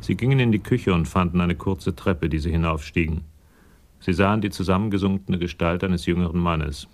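A man's voice: sits low, a little fast. A man reading scripted narration for a radio crime drama.

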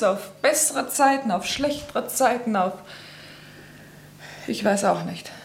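A woman playing a weary, restless wartime wife in a radio play. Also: on the high side. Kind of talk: German radio play acting. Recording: echoey room.

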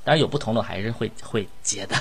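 A man's voice: fairly low pitch, very fast, noisy background. A man hosting a casual group chat and relaying questions to his guests. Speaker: host relaying audience questions.

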